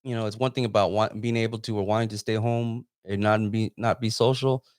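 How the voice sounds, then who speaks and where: A man's voice: fairly low pitch, fairly even intonation, brisk, darkish tone, no echo, studio quality. A man hosting a casual live interview, talking in an informal, rambling way. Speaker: interviewer and host; photographer.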